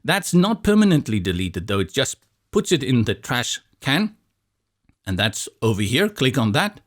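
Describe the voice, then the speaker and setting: A man from South Africa, Afrikaans his first language, with a South African accent, calmly talking through a software tutorial step by step with a bit of light humor. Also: medium pitch, normal speed. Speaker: tutorial instructor. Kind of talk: screen-recorded software tutorial.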